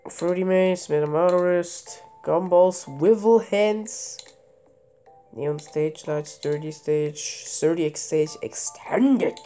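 Lady with a cold doing casual game commentary, lowish voice, kinda slow and offhand. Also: warm tone, soft background music. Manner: offhand, mixes English game terms. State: has a cold. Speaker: let's play gamer.

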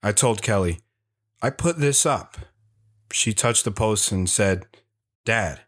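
A man's voice: fairly low pitch, animated, takes it slowly. A man playing a reflective, stoic young soldier and father in an audio drama, with an understated delivery. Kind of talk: audio drama first-person narration and dialogue. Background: guitar music.

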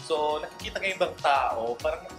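A man's voice: on the high side, animated, fairly quick, roomy sound, over music. A man in his thirties from Indonesia, chatting playfully to camera as a vlogger. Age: 30s. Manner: chatty, playful, mixes languages. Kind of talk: casual chat to camera. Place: indoor room with wood-panelled wall.

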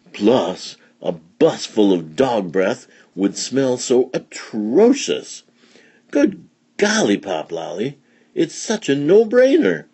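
A man's voice: on the low side, creaky, a little mellow, slightly rough, exaggerated voice. A man voicing a bossy, dramatic mother cat who scolds fondly in emphatic storybook rhyme. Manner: emphatic, rhyming, theatrical.

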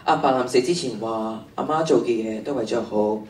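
A man's voice: medium pitch, brisk, a little mellow, lightly acted. An echoey, slightly noisy stage recording, picked up from a distance. A teenage boy acts out a troubled schoolboy hiker trapped in a cave, speaking Hong Kong Cantonese in radio drama style. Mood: earnest. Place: stage of a large hall. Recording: echoey stage, distant mic, faint noise.

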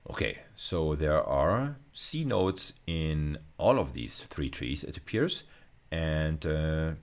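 Very deep, creaky middle-aged man lecturing. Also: sing-song, slow. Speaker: university lecturer. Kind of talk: informal screencast lecture, explaining code step by step.

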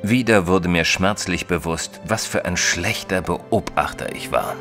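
An actor playing a modest, sensible male companion who narrates a detective audio drama in a measured, literate way. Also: deep voice. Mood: reflective. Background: music.

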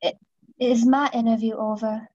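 A woman's voice: fairly high pitch, little pitch movement, normal speed, a little mellow, no room sound, highs cut off, natural voice. A young woman playing a nervous, evasive suspect in an amateur radio play. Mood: apologetic. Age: young adult.

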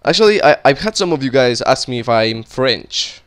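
A man's voice: mid-range voice, lively intonation, normal speed, a bit bright. Chatty Colombian-accented young man gaming. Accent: Colombian Spanish. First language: Spanish. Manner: rambling and chatty, talking while playing, frequent restarts. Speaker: gaming video creator. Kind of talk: casual gameplay commentary.

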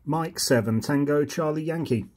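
A man's voice: on the high side, moderate pace, heavy background noise. A man with a British accent giving radio call-outs and casual commentary in a matter-of-fact way. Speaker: amateur radio operator, video host. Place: indoors at a desk radio set.

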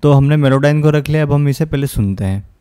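A man talks a learner through music software in an informal tutorial. His voice is smooth and clean with a soft, mellow tone. He explains step by step, in a close, dry recording. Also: a little high, quick pace, no room sound. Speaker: music production tutorial host. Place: close, dry indoor voice-over.

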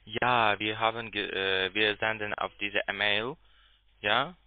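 Male agent, foreign accent, lowish voice, dry telephone sound, broken grammar, hesitant and repetitive delivery. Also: fairly even intonation, no room sound. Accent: foreign accent in German. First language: not German. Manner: halting, broken grammar, repeats himself. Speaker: call centre agent. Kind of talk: phone conversation. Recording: dry narrow phone line.

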